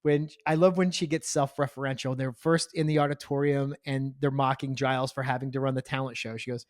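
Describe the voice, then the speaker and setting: A man's voice: medium pitch, little pitch movement, brisk, no echo, studio quality. A man co-hosting a casual TV rewatch podcast, chatting in a jokey way and swearing casually. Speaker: podcast co-host. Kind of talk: casual chat, TV rewatch review.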